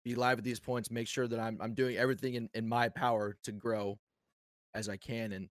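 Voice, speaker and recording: A man's voice: medium pitch, little pitch movement, a little fast, dry recording, clean studio sound. A man who streams, chatting easily as a guest on a podcast. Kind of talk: casual interview chat.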